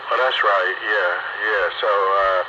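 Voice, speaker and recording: A man's voice: fairly low pitch, steady tone, slow. A man chatting casually over hobby radio, using folksy radio lingo. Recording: dull, very noisy radio transmission. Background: loud radio noise.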